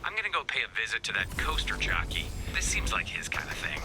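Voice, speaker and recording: A man playing an excitable, quirky, exasperated scientist in a video game, quippy and given to awkward rambling. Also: fairly low pitch, quick pace. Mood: wry, exasperated. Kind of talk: video game character voice acting. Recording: music behind the voice.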